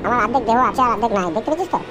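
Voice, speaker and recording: A woman voicing a bossy, scolding ghost of a former thug in a comic cartoon, with gruff, coarse slang. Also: a little high, lively intonation, racing, noisy background, natural voice. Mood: grim.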